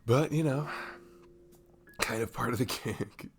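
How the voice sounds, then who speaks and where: A man playing a sweet, goofy, comforting barista in an intimate ASMR roleplay, speaking softly and haltingly with a faint Southern US accent. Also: medium pitch, close to an everyday voice. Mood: sheepish, amused. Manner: soft, halting, chuckling, drifting into near-whispers. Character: cute, goofy, comforting young male barista, sweet and self-deprecating.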